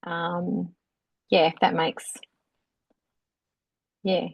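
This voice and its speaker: A woman introducing herself on a video call, halting and apologetic with many pauses and ums. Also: on the low side, little pitch movement, gravelly, no echo, lo-fi sound. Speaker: solo business owner.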